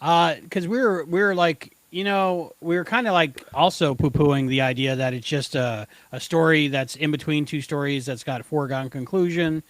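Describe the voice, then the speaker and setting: A man co-hosting a casual fan podcast, speaking informally in halting, hesitant phrases. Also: fairly high pitch, dry recording. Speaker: podcast co-host.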